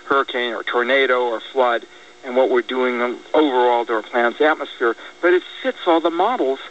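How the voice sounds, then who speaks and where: An American man speaking in a political radio discussion, halting and repeating words as he reasons things out. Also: mid-range voice, fairly bright tone. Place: radio broadcast. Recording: dull lo-fi radio, distant, faint noise.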